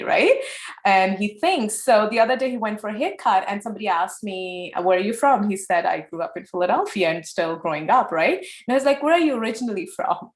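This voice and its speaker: Lady in her forties running a panel talk, animated, does little voices for quotes, echoey room sound. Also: medium pitch. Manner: lively, acts out dialogue in changing tones. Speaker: moderator. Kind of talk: moderating a panel discussion.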